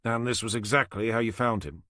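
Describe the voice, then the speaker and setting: A man voicing a blunt, exasperated male detective in his own low voice. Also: steady tone, a little fast, bright, forceful tone, no room sound, clean studio sound, natural voice.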